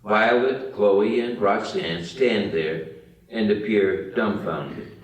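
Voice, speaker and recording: A man's voice: fairly low pitch, takes it slowly. A man narrating the stage directions of a screenplay at a table read. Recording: roomy, distant mic.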